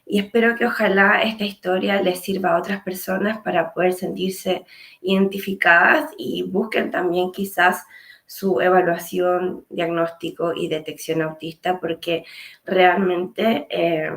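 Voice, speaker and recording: A woman in her late thirties with a Chilean accent, telling her personal story in a measured, reflective way with frequent hesitations. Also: fairly low pitch, flat delivery, a bit bright. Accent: Chilean Spanish. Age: late 30s. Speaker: speaker sharing her story. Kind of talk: personal testimony talk.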